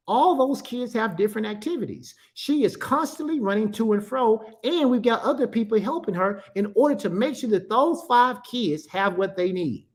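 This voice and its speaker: Animated pastor in his fifties. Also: sits high. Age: 50s. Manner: animated, repeats phrases for emphasis, calls out to listeners. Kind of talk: teaching talk to an online audience.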